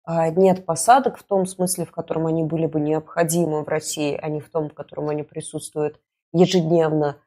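A woman's voice: low voice, flat delivery, fairly quick, mellow. A woman co-hosting a talk show, giving news commentary and casual banter in a wry, ironic way. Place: studio.

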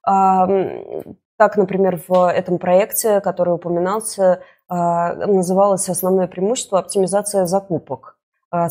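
A woman with a somewhat low, husky voice co-hosts a talk show. She talks through the news casually, with a wry, ironic edge. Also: dark tone. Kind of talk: news commentary with casual banter. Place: studio.

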